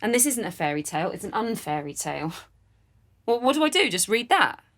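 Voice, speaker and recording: A woman's voice: medium pitch, big pitch swings, a little fast, a bit bright, no room sound. A woman playing a cheeky, reluctant storyteller, narrating a satirical unfairytale with wry, informal asides. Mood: wry, explaining.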